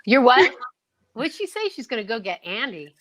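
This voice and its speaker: A chatty, jokey, excitable woman hosting a radio show with casual live banter. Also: on the high side, normal speed. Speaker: host of an old time radio show.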